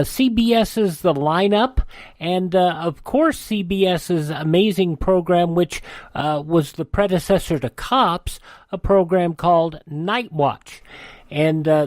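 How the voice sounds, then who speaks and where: A man's voice: on the high side, a little mellow, no echo. An American man hosting a radio show in a conversational style.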